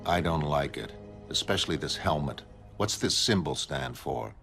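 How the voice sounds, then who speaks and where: A man playing a calm, godlike superhuman who narrates a comic in a measured, solemn, melancholy way. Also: a bass voice, vocal fry, heavily acted. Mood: flat, calm. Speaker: narrator and lead character. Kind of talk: scripted first-person comic narration. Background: prominent music.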